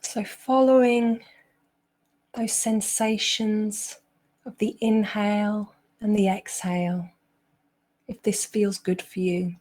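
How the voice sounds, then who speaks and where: A woman leads a guided meditation at a slow pace. Her voice sits at a middle pitch. She speaks gently, with long pauses between her instructions. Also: flat delivery. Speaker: meditation teacher.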